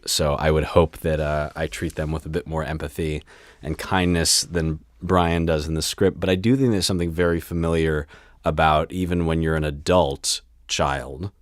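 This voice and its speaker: A man's voice: very deep voice, steady tone, moderate pace, no room sound, studio quality. A man talking casually as a guest in a panel discussion.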